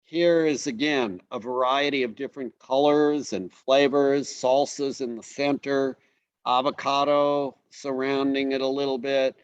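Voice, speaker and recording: A man in a casual online presentation, speaking at an easy middle pitch. He pauses and fills gaps as he walks through pictures. The sound is dry and a little dull. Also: clean tone, no room sound. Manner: relaxed, halting with many fillers. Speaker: host presenting slides.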